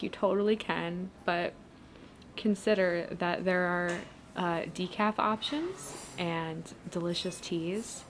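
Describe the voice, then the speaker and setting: Woman acting as encouraging writer friend, casual ASMR roleplay, slow delivery, gentle, hesitant, kindly teasing, noisy ambient background. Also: medium pitch. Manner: hesitant, gentle, encouraging, chuckling softly between phrases. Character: supportive, gently teasing writer friend giving a pep talk. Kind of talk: ASMR roleplay, casual one-sided chat. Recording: clear steady background noise, ambience.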